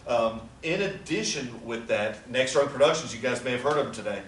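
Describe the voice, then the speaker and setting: A man's voice: mid-range voice, brisk, fairly bright tone. A man making casual announcements to a theater audience, chatty and teasing. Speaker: theater company organizer. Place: theater or event room. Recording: roomy, from far off, faint noise.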